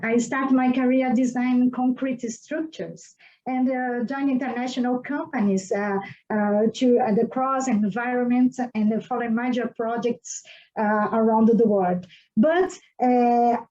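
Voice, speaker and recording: Woman, 40s, from Brazil; halting podcast guest, highish pitch, muffled lo-fi audio. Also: a little mellow. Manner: halting, many fillers, non-native phrasing. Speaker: guest engineer. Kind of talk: podcast interview. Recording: dull lo-fi audio.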